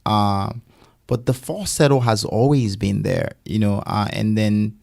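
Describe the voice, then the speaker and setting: Gravelly-voiced man telling his story. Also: fairly low pitch, unhurried, dry recording, studio quality. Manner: animated, emphatic storytelling with laughs. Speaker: guest singer. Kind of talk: casual podcast interview.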